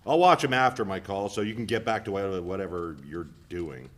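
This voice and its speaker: A man's voice: low voice, a little fast, a bit bright. A man playing an irritated, reproachful husband and busy father in a dramatic play reading.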